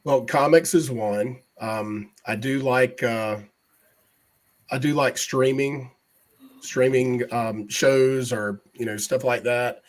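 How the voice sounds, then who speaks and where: A middle-aged man chats as a podcast guest, his voice slightly hoarse. He talks in an easygoing, halting way, pausing on fillers as he thinks. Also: medium pitch, fairly even intonation. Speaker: panel guest. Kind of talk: casual chat.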